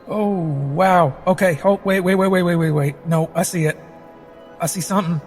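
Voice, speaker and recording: A man playing an excitable, alarmed adventurer in a tabletop game, stammering and repeating words urgently. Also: sits high, moderate pace, soft background music, natural voice. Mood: startled. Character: excitable, alarmed male party member, jittery and blurting. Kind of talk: tabletop roleplay acting.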